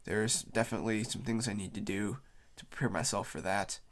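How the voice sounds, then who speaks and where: A man's voice: on the low side, steady tone, fairly quick. Casual, rambling man with a cold. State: has a cold, tired. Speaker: YouTube host. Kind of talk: casual unscripted explainer vlog.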